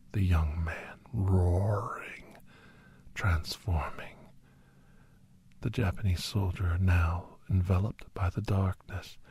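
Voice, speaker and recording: A man acting as a grave doctor recounts a dark monster tale for ASMR listeners. His voice is a very husky, breathy whisper, close and dry. He moves slowly and deliberately, repeating phrases and leaving pauses. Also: low voice, no room sound, full character voice. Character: Doctor Andrew Michaels, grave male storyteller recounting a werewolf encounter. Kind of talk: whispered ASMR dramatic first-person storytelling.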